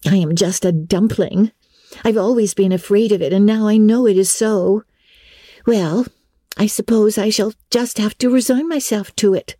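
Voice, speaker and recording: A woman's voice: medium pitch, normal speed, warm tone, no room sound, clean studio sound, pitched above the performer's own voice, close to an everyday voice. A woman voicing a vivacious, bossy and affectionate teenage girl who fusses over her best friend in a storybook reading. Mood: admiring, rueful. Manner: gushing, decisive, affectionate.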